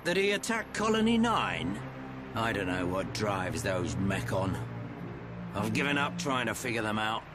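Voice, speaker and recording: Gruff older trader, teasing and slangy, lively voice, soft music behind. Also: male voice, fairly low pitch, unhurried. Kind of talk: video game cutscene acting.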